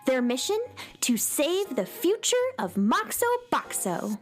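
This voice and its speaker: A woman's voice: high-pitched, big pitch swings, unhurried, faint music behind. A woman playing a perky, sweet young space cowgirl in a children's radio drama, gushing with wide-eyed enthusiasm. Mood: excited.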